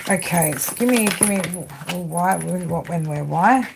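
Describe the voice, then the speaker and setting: A woman's voice: fairly low pitch, measured pace, vocal fry, background noise. Aussie woman rambling through a tarot livestream. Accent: Australian. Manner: rambling, swears casually, many fillers. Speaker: tarot reader. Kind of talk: live-stream tarot reading.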